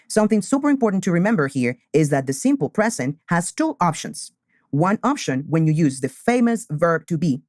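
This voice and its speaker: A man, teaching beginner English and repeating key phrases slowly. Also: on the high side, a little mellow, no echo, studio quality. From Colombia. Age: 20s.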